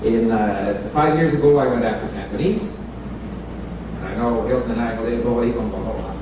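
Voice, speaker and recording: A man's voice: mid-range voice, normal speed. Man at a bookstore event, chatty talker, mid-range voice, picked up far off in a reverberant space. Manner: relaxed, chatty, switches languages, asks the audience questions. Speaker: speaker giving a talk on language learning. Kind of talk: informal public talk to an audience. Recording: echoey room recorded from far off, background noise.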